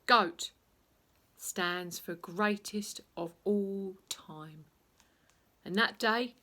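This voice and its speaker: A woman acts out a great big male sports teacher from a children's storybook. He is wounded but defiant, and declares himself slowly, loudly and with heavy emphasis. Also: medium pitch, exaggerated voice. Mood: defiant, triumphant.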